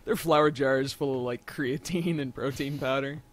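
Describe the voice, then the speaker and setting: A man's voice: medium pitch, steady tone, moderate pace. A man co-commentating on a video game with casual banter and dry, joking quips.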